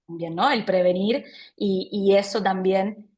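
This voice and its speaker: Woman, Buenos Aires accent, video call, halting warm delivery. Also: mid-range voice. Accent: Buenos Aires Spanish. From Argentina. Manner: warm, hesitant, repeats words while thinking. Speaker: internal medicine doctor, guest speaker. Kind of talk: online talk presentation.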